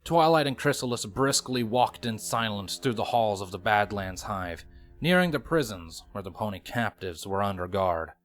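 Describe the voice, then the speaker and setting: A man's voice: lowish voice, animated, moderate pace, soft background music. A man reading fan fiction as scripted audiobook narration, in a dramatic storytelling manner.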